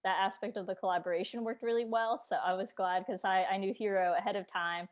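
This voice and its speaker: A woman's voice: medium pitch, fairly even intonation, fast. A woman interviewer hosting a casual conversation about books over a video call. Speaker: interviewer and publishing host.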